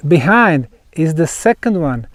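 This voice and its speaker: A man giving a guided talk to a group at a site, speaking in deliberate, emphatic phrases broken by pauses. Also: on the high side, sing-song, no room sound. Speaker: researcher and tour guide. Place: outdoors at a megalithic stone site.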